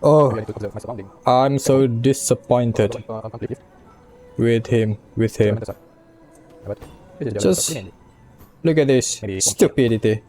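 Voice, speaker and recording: A man streams a video game in a casual, unhurried way. His voice is soft-edged and mellow, and he talks haltingly with muttered asides. Game music plays faintly behind him. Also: medium pitch. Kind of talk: casual gameplay commentary, reading on-screen text.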